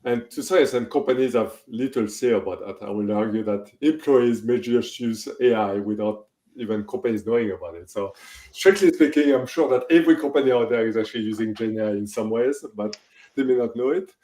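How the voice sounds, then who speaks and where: A man's voice: mid-range voice, a little fast, warm tone, echoey room. A middle-aged man from France with a French accent, speaking as an interview guest and thinking aloud with restarts and tag questions like "right?". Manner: thoughtful, many restarts and "right?" checks. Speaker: guest, tech executive. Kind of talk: podcast interview.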